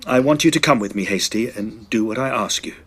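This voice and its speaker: A man playing a determined, agitated young gentleman in a Victorian horror drama, speaking earnestly and emphatically in formal phrases. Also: lowish voice, a little fast, a little hiss or hum, close to an everyday voice. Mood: brisk, commanding.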